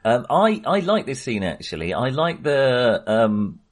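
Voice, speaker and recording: A husky-voiced man co-hosting a casual film discussion in a hesitant, tentative manner. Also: mid-range voice, big pitch swings, a little slow. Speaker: film podcast co-host.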